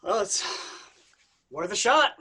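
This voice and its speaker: A woman's voice: medium pitch, animated, slow, pushed and bright, slightly rough, heavily acted. A woman playing a breezy, slangy member of a scheming group in a comic stage reading. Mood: casual coaxing.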